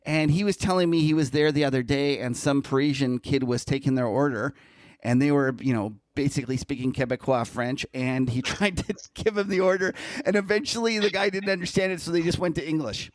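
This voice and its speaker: A man co-hosting a casual interview podcast, talking in an easygoing, halting way that often restarts sentences. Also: on the high side, no echo.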